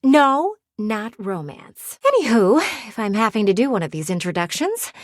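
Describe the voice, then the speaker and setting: A woman's voice: mid-range voice, very animated, moderate pace, no echo, close to an everyday voice. An actress voicing a talking window in a video game, a flirty yet wistful female people-watcher with a folksy, teasing, theatrical drawl. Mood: frank, friendly. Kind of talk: video game character voice acting. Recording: close, dry studio recording.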